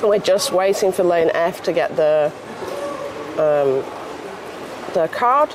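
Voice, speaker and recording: A woman's voice: fairly low pitch, animated, noisy background. Northern English woman commentating live. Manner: chatty, brisk, asks follow-up questions.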